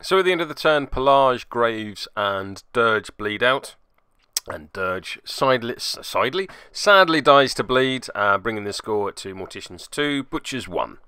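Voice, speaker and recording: A man's voice: mid-range voice, expressive, unhurried, sharp tone, smooth, dry recording, clean studio sound. A man hosting and narrating a tabletop game move by move, with theatrical flourishes and wry asides. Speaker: podcast host and player.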